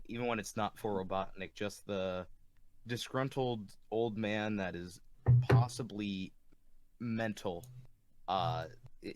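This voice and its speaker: A man talking casually as a voice actor, in a hesitant, thoughtful way. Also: sits low. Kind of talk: casual chat.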